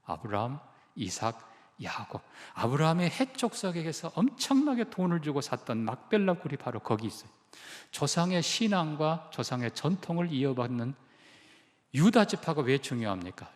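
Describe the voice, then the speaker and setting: A man's voice: medium pitch, in a large hall. A male pastor preaching a sermon, emphatic and putting questions to the congregation. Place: church sanctuary.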